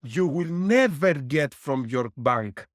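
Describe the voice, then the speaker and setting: A man's voice: on the high side, animated, slow pace, no echo, studio quality. Man explaining business lessons. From Greece. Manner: explains with worked numbers and lessons, laughs wryly. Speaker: guest, board game publisher. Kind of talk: interview answers.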